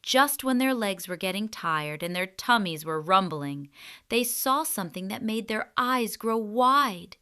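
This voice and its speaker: Woman doing kids fairytale narration, really unhurried, crisp bright voice, expressive storyteller delivery. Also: medium pitch. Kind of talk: scripted children's fairy tale narration.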